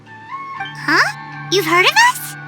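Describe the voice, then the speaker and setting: A woman voicing a tiny, chirpy, curious childlike companion in a video game, with a squeaky voice. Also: wildly swooping pitch, drawn-out words, music in the background, full character voice. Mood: surprised.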